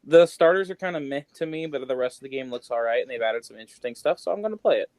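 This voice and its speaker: A man's voice: mid-range voice, lively intonation, fast, a little mellow, dry recording. A man hosting a podcast in casual chat, with an offhand manner and dry understatement. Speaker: podcast host. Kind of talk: casual chat about games and news.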